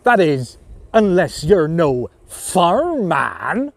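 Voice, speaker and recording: A man playing a quick-witted, polite but nervous farmer in a storybook tale, courteous and theatrical. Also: fairly high pitch, very animated, creaky, heavily acted. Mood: crafty persuasion. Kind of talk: storybook character voice.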